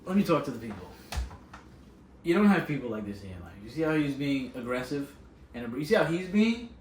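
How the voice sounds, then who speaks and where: A man hosting a casual podcast with friends, talking loudly and defensively, his voice jumping up when he protests. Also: medium pitch, sing-song, brisk. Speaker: podcast host. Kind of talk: casual group podcast banter. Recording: roomy sound from a distant mic.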